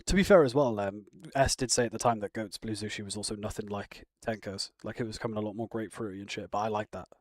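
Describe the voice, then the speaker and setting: A man's voice: lowish voice, fast talker, few pauses, no room sound. A man chatting casually on a live stream, rambling and answering viewer comments. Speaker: live streamer reacting to chat. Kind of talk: casual chat.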